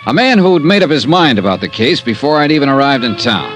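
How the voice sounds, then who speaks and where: A male actor plays a wry insurance investigator in a vintage radio detective show. His delivery is clipped and skeptical, with animated, mid-pitched inflection. Music is heard in the old broadcast recording. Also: brisk, lightly acted. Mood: businesslike. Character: wry, self-assured freelance insurance investigator narrating his case. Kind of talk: 1950s radio detective acting.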